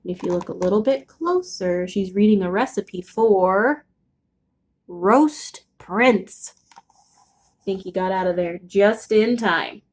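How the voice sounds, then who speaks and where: A woman reading a children's picture book aloud as an expressive storyteller. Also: mid-range voice, takes it slowly.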